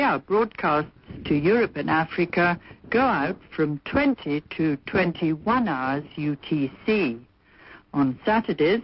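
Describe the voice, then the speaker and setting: A woman reads a broadcast schedule as a scripted radio announcement. The shortwave recording is a little muffled, with faint noise behind the voice. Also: low voice.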